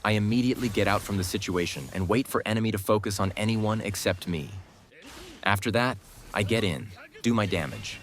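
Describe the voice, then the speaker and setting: Low-voiced man narrating a gaming guide. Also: fairly quick. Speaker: gaming guide creator, commentator. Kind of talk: scripted game guide commentary.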